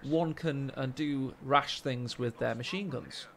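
A man with a deep voice casting a strategy game live, excitable and joking, speaking into a desk microphone in a small room at home. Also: takes it slowly, gravelly, a loud crowd. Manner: excitable, jokey, rising with the action. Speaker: game caster. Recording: game sound effects under the voice. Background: game gunfire and ambience.

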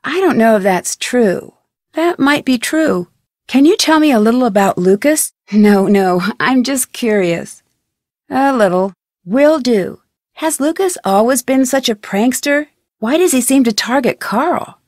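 An American actress playing a curious, polite, plucky young woman detective in a video game, speaking scripted lines in a clear, earnest way. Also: a little high, darkish tone, no room sound, clean studio sound. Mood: inquisitive.

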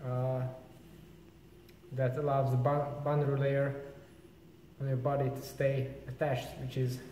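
Young guy chatting about bike parts, mid pitch, big reverby workshop sound. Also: a little slow, slight background noise. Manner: relaxed, informal explaining of gear. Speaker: vlogger. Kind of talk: casual workshop vlog. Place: large indoor bike workshop.

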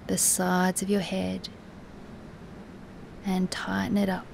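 A woman teaching guided relaxation in a soothing, soft, instructive way, with breathy, near-whispered moments and long pauses. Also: mid-range voice, slight background noise. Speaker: yoga nidra meditation teacher.

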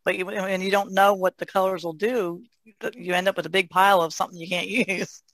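A woman's voice: medium pitch, flat delivery, a little fast, a bit bright, no echo. A woman chatting casually as a panelist on an art podcast. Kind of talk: casual chat.

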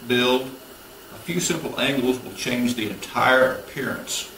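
A man's voice: medium pitch, unhurried, fairly bright tone. A man in his thirties explaining a woodworking build step by step in a plain, practical, instructive way, recorded in a home garage workshop. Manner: practical, instructive, matter-of-fact. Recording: roomy workshop, distant mic, tool noise.

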